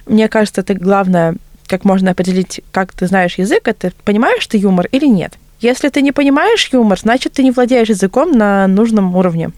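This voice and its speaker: A woman's voice: mid-range voice, lively intonation, a little fast, darkish tone, dry recording. Young woman, chatty, very dry sound. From Latvia. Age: 20s. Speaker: interview guest. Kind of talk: conversational personal storytelling.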